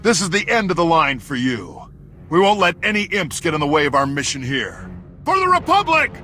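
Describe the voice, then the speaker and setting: An actor playing a defiant male Republic soldier who shouts threats in a game cutscene. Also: on the high side, huge pitch swings, a little fast, soft background music, exaggerated voice.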